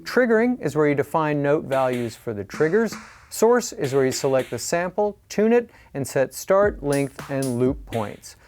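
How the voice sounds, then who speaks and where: A man presenting a scripted product review in a clear, upbeat explanatory way. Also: fairly high pitch, sing-song, moderate pace, a little mellow, a little hiss or hum.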